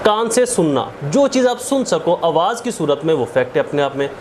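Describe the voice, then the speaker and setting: A man's voice: a little high, big pitch swings, normal speed, background noise. A man with a Pakistani accent giving an explanatory law lecture, didactic, listing points and repeating key phrases. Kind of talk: explanatory lecture mixing Urdu with English legal terms.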